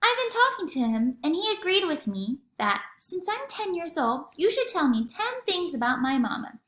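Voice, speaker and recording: Storybook girl of ten, voiced by a woman, hopeful and earnest, high lively voice, roomy sound. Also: moderate pace, exaggerated voice. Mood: pleading. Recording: echoey room.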